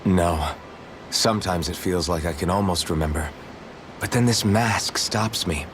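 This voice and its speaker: A man acting a kind, idealistic young hero in a video game cutscene, speaking gently, sincerely and thoughtfully. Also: sits low, measured pace, background noise. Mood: troubled.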